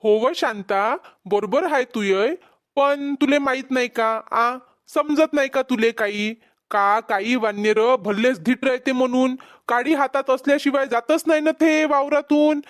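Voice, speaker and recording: A woman's voice: high-pitched, a little slow. A woman voicing a concerned, reasoning village farmer husband in a cartoon comedy, with a Vidarbha Marathi accent. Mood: gently chiding. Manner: placating, explaining, gently chiding.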